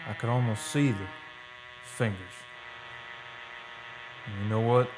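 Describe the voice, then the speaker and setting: A man's voice: fairly low pitch, steady tone, unhurried, lightly acted, low-quality recording. Man acting a haunted, folksy oil-rig storyteller, music behind. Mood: haunted, grim. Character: shaken oil-rig worker recounting eerie deaths, defensive under questioning. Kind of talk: radio drama first-person narration.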